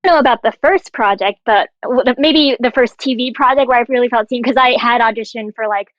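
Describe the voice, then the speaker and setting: A woman's voice: a little high, quick pace, dry recording. A woman speaking casually as a panelist in a discussion, through dull, lo-fi audio. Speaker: actor, panelist.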